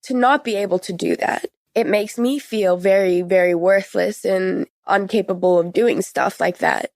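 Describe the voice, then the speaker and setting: A woman's voice: medium pitch, normal speed, creaky, darkish tone, no room sound, clean studio sound. A young woman in her late teens talking frankly and informally about her own experiences as an interview guest, often saying "like". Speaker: podcast guest. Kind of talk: personal interview, recounting experiences.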